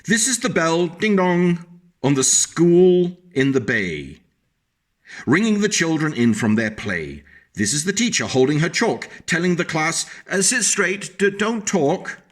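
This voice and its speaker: A man reading a picture book aloud to young children, animated and stopping to explain the pictures to his small listeners. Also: a little high, measured pace.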